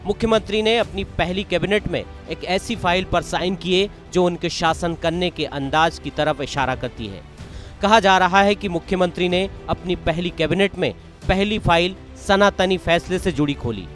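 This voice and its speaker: A man's voice: high voice, moderate pace, a bit bright. A man reading scripted news narration in a formal, emphatic way. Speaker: news presenter. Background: background music.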